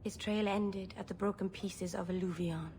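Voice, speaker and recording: A woman's voice: medium pitch, fairly even intonation, moderate pace, a little mellow, a little hiss or hum, lightly acted. A woman voicing a naive, earnest young elf with a hesitant, stubborn manner in a video game. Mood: anxious, pleading. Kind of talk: video game dialogue acting.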